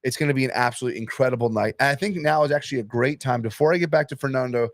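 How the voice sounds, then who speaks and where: A young man hosting a casual podcast, hyping up matches and sponsors with excitable energy. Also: medium pitch, racing, dry recording, studio quality. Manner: hyped, excitable, promotional. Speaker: podcast host and arm wrestling promoter.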